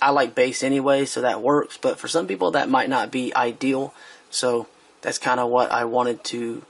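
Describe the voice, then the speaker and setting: A man with a gravelly, slightly husky voice talks through a product review at an everyday pitch. He hesitates often, restarts his sentences and fills the gaps. He sounds casual and unscripted, as if working the thing out on the spot. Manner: hesitant, lots of fillers and restarts.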